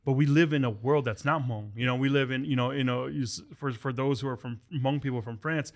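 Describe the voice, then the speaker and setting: Brief: male podcast host, mid pitch, chatty and playful, casual talk. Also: fairly even intonation, a little fast. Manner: chatty, joking, repeats words.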